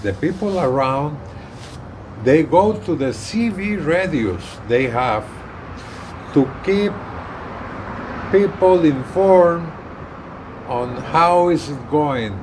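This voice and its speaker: A man giving a casual how-to explanation to camera, speaking in halting, deliberate phrases with pauses mid-sentence. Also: fairly high pitch, lively intonation, background noise. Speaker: vlogger, hobbyist radio user and truck driver.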